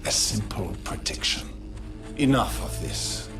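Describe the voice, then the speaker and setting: Deep-voiced, smug warlord chancellor. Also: male voice, expressive, a little slow. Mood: cold disdain. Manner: condescending, formal, smoothly menacing. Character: cold, smug Nilfgaardian grand chancellor and army commander. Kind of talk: dramatic video game acting. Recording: echoey, with music behind.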